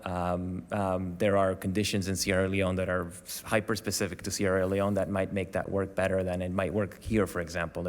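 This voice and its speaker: A man from Venezuela answering questions as a panel guest, hesitant, with many fillers and restarts. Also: sits low. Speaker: panel guest, media organisation staff. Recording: long hall reverb.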